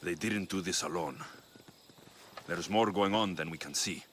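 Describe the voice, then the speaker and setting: A man's voice: deep voice, moderate pace, fairly bright tone, a little hiss or hum. A man playing a tough, wry ancient Greek mercenary in video game cutscenes, speaking bluntly with dry menace. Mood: firm.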